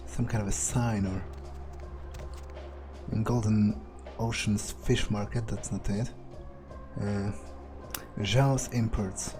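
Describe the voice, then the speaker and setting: A man playing a cocky, nonchalant vampire, muttering his thoughts aloud in character as game commentary. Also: on the low side. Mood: musing. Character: nonchalant, cocky male vampire, casually menacing. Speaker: gameplay streamer role-playing a character.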